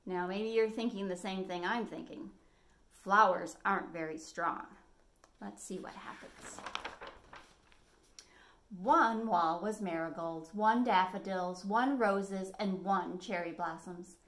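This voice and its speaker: An American woman in her forties reading a picture book expressively as a storytime host, phrasing clearly for children. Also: medium pitch, takes it slowly, fairly bright tone. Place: small living room at home, indoors.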